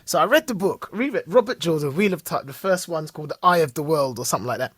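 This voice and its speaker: A man co-hosting a podcast in casual chat, excitable, laughing often and tripping over repeated words. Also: a little high, fast, husky, dry recording. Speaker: podcast co-host.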